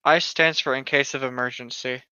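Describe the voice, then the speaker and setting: Bright, forceful voice bantering through a read-aloud. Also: medium pitch, steady tone, moderate pace, clear, resonant tone, dry recording, highs cut off. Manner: irreverent, riffing, breaks off into asides. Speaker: co-host of a creepypasta read-along.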